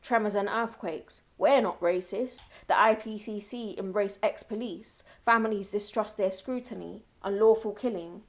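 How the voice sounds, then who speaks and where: A woman's voice: mid-range voice, normal speed, hardly any pauses. Woman reciting emphatic spoken word poetry. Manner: declarative, emphatic short phrases with refrains.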